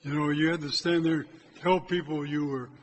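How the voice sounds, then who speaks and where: An older priest preaches to his parish in a loud, direct voice that sits a little high for a man. His words ring out through a church with a long echo, and a faint hiss sits under the recording. He talks plainly and does not keep his voice low. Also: fairly even intonation, normal speed. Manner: loud, hard time keeping his voice low. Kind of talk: church exhortation. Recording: echoey church from a distance.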